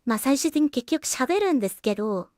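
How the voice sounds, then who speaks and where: Female creator, fairly high voice, casual working commentary half to herself, close dry sound. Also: very animated, brisk, darkish tone, no room sound. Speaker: solo animation creator and voice actor. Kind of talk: casual production commentary, thinking aloud.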